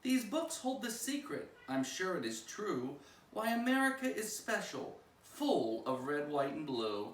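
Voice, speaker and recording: A man reading a rhyming children's picture book aloud like a school principal at story time, with expressive, singsong phrasing. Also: on the high side, measured pace. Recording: roomy echo, distant microphone.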